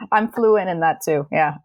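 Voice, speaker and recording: A woman's voice: on the high side, dry recording. A woman in her thirties whose first language is Danish, a parenting coach speaking as a podcast guest in a friendly, reflective way. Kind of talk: casual interview chat.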